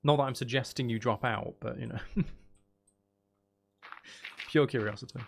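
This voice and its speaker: A man's voice: mid-range voice, moderate pace, gravelly. A man casually chatting on a live game stream, musing aloud and talking to viewers as he plays. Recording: faint background noise.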